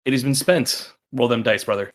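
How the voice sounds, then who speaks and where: A man's voice: mid-range voice, a little fast. A man chatting casually and swearing as a player in a tabletop roleplaying game.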